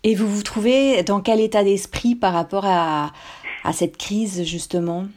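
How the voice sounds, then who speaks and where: A woman's voice: mid-range voice, fairly even intonation, a little slow, a little mellow. A woman interviewer asking brief, direct questions.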